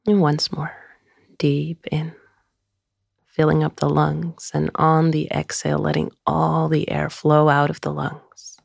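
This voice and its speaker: A woman guiding a meditation in a soothing, gentle, unhurried way, often trailing into a breathy near-whisper. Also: low voice, completely flat delivery, dry recording, clean studio sound. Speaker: meditation guide. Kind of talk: guided meditation.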